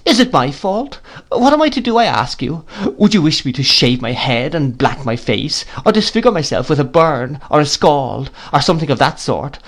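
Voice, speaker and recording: A man's voice: big pitch swings, unhurried, vocal fry, few pauses, full character voice. A man voicing a pretty young mother who is tearful, easily wounded and reproachful, in a read-aloud story. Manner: high, pleading and reproachful.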